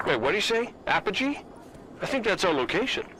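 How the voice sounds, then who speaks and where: An actor playing a wry, observant soldier in a video game squad, with a matter-of-fact manner. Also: male voice, sits high, very animated, a little fast, bright, forceful tone, slight background noise, exaggerated voice, rough recording. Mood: dry, alert. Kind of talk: video game cutscene acting.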